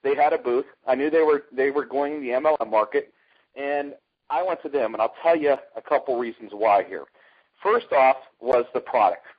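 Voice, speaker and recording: An American man, middle-aged, giving an informal, emphatic sales pitch as a network marketer, telling stories and asking rhetorical questions. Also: moderate pace, slightly rough. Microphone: telephone conference call. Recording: muffled, dull conference phone line.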